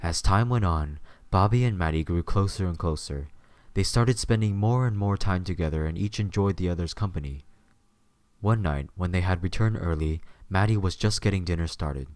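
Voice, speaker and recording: Male narrator, very low voice, scripted storytelling, dry close sound. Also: normal speed, darkish tone, no echo.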